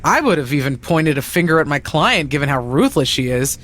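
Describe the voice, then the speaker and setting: A woman's voice: low voice, big pitch swings, brisk, fairly bright tone. A woman playing a blunt, protective wrestling manager in a video game cutscene, speaking in a pointed, sardonic way. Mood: measured.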